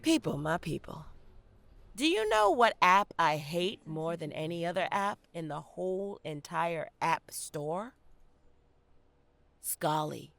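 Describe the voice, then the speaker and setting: An actress playing a proud, sharp-tongued, working-class Jamaican college student, fed up and sardonic, in a theatrical audio-play monologue. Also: female voice, fairly low pitch, slow, vocal fry, no room sound, full character voice. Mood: exasperated. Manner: sardonic, animated, theatrical storytelling.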